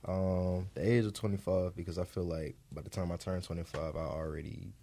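A man in his twenties speaks briefly and quietly in a casual podcast chat. His voice is very deep, with a rough, gravelly texture. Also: fairly even intonation, fairly quick, slight background noise. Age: 20s. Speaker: podcast guest.

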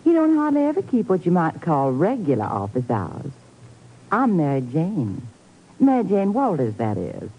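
Husky, lilting Southern belle. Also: female voice, fairly low pitch, huge pitch swings, normal speed, full character voice. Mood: flirtatious, coy. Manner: drawling folksy endearments. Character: flirtatious, folksy, deceptively sweet woman receptionist. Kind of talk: 1950s radio drama acting. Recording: muffled old radio recording.

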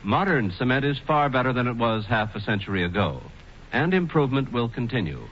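Male sponsor spokesman, widely swinging intonation, measured and emphatic, muffled 1940s radio sound. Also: mid-range voice, expressive, a little hiss or hum. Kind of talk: 1940s radio scripted narration.

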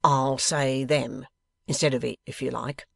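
A man's voice: medium pitch, fairly even intonation, unhurried, a bit bright, dry recording, clean studio sound. A man voicing a coarse, sly lock-keeper from a novel, growling with an insolent manner. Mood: cool, sullen. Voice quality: growling, gruff. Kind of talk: dramatised novel reading.

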